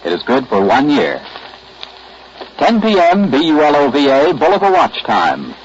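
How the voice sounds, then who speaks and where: Animated male station announcer, old radio. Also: on the high side, moderate pace. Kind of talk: 1940s public-service and station announcement. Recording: dull, hissy 1940s radio recording.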